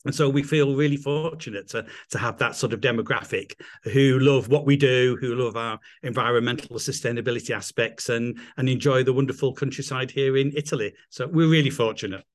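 A man's voice: mid-range voice, a little fast, few pauses. Middle-aged English man, upbeat interview guest, chatty and full of restarts, calling in remotely. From England. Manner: enthusiastic, rambling with restarts. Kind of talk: casual interview chat.

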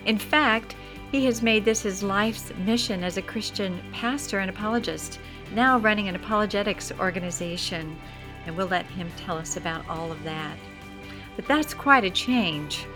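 A woman hosting a podcast, reading a measured introduction and then asking a guest questions. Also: medium pitch, over music. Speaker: podcast host. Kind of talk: scripted intro then interview.

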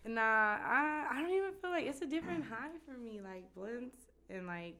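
A young woman from with a raspy voice, chatting bluntly as a podcast guest and swearing freely. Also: on the high side, animated, moderate pace, bright, forceful tone. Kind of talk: casual chat.

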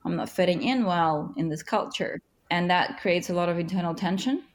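A woman in her thirties, a native speaker of Russian and Ukrainian, answering interview questions in an earnest, encouraging way with slow, emphatic phrasing. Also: lowish voice. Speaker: guest, author and business leader.

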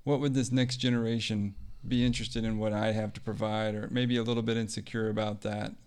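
A man hosting a podcast interview with a steady, affirming manner. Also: lowish voice, brisk, clear, resonant tone. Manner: steady, flat delivery, affirming. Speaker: podcast host.

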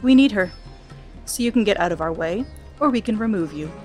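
A scheming young man with a smooth, taunting way of talking, as a woman narrator puts him on. He sounds calm and sly, a villain in a spoken fantasy story with faint music behind. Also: mid-range voice, brisk, lightly acted.

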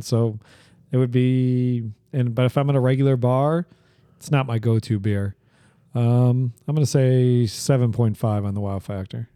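A man co-hosting a beer-tasting podcast, relaxed and agreeable, rambling a little as he gives his ratings. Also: mid-range voice, no echo, clean studio sound. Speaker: podcast co-host. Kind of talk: casual beer-tasting chat.

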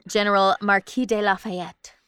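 Bright, clear-voiced woman telling history casually. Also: mid-range voice, level tone, normal speed, no echo, clean studio sound. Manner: informal, joking, swears. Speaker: podcast co-host telling history. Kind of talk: casual storytelling from notes.

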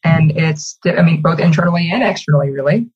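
A woman's voice: sits low, a little fast, darkish tone, slightly rough, highs cut off. A woman giving articulate, emphatic interview answers in polished corporate phrasing over a video call. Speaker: communications executive, interview guest.

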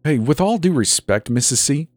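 A cocky teenage troublemaker played by a man talks back with breezy, mocking defiance. The pitch is pushed up high and bounces around, with music underneath, as in a dramatized story. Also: expressive, normal speed, no echo, close to an everyday voice.

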